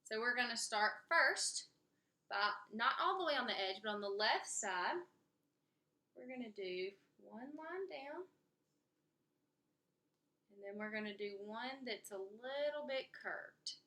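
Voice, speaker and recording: A woman's voice: fairly high pitch, expressive, takes it slowly, pauses often, pushed and bright. A woman giving casual step-by-step instructions as a drawing teacher.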